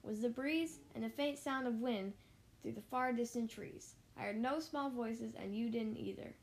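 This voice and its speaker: A woman's voice: fairly high pitch, moderate pace, close to an everyday voice. A woman voicing a big, sour, bossy kangaroo who scolds scornfully in storybook rhyme. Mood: dismissive. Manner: snappish, scornful, declaiming in rhyme.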